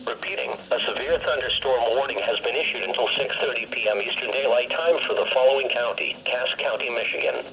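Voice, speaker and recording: A synthetic male voice reading a formal, measured emergency weather warning over weather radio. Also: medium pitch, bright, forceful tone. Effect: weather radio broadcast. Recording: noisy weather radio picked up by mic.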